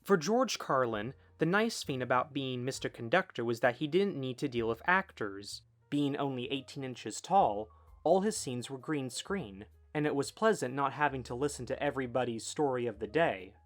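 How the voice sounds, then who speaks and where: Guy doing scripted video essay narration, normal pitch, bit of music behind. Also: animated, moderate pace. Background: background music.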